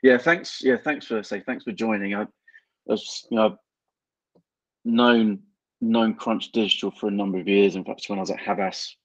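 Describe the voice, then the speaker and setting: A man's voice: on the low side, steady tone, no echo. A man hosting an interview podcast over a remote call, chatting casually and often restarting his sentences. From England. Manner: halting, many restarts and fillers. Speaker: podcast host. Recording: dull lo-fi remote call audio.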